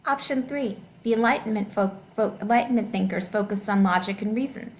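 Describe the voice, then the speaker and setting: A woman reading test questions and answer options aloud as a teacher, deliberately and with each option set clearly apart. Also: mid-range voice.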